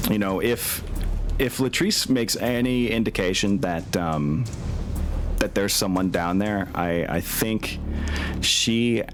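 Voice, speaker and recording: A man working as game master, narrating a tabletop game informally and thinking aloud as he goes. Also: fairly low pitch.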